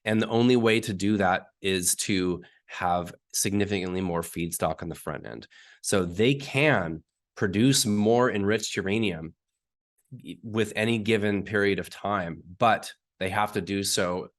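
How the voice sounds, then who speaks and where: A man with a hoarse, raspy voice from being sick, explaining technical detail step by step as an interview guest and often clearing his throat. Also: on the low side, measured pace. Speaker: uranium market analyst guest.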